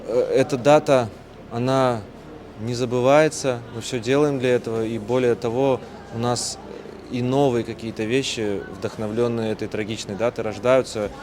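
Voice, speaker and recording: A man speaks in an interview at an event. His voice is of middle pitch and he builds his thoughts in short, halting phrases. There is clear crowd noise around him. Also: steady tone. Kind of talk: on-site interview, thanking. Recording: busy crowd noise behind. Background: crowd and venue bustle.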